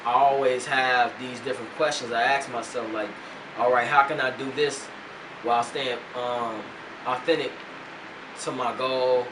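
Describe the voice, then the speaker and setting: A man's voice: mid-range voice, fairly even intonation, unhurried. A young man who is a rapper, talking as an interview guest in a loose, laid-back way with lots of slang and fillers. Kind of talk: casual interview chat. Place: radio studio. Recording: roomy, distant mic, noisy hum.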